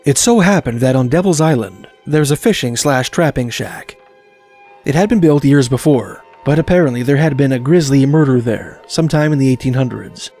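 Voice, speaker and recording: A man narrating scripted documentary stories of eerie places in a measured, formal, articulate storytelling manner. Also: medium pitch, darkish tone. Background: music.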